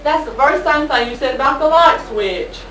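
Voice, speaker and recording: A woman's voice: sits high, lively intonation, fairly quick, sharp tone. A woman playing a sarcastic, exasperated wife who gripes comically about her husband in a stage sketch. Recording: echoey hall from far, audience.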